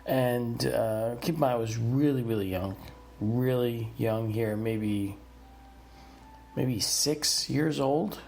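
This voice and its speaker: A man's voice: medium pitch, slow, gravelly, darkish tone, a little hiss or hum. A man in his fifties from the northeastern United States, whose first language was Italian, hosting a podcast and telling his life story in a rambling way with many fillers. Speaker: podcast host. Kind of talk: personal storytelling monologue.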